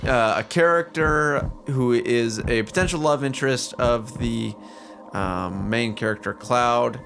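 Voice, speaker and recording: A man's voice: mid-range voice, a little slow, creaky, a bit bright, a little hiss or hum. A man co-hosting a podcast, giving casual conversational commentary on video games. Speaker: podcast co-host.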